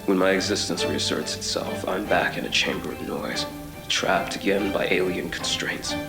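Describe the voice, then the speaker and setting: An actor playing a haunted, obsessive traveler between twisted worlds in a horror audio drama, speaking in a measured, brooding, literary way with halts. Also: male voice, low voice, creaky. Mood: grim, mournful. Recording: reverberant voice over music. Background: music.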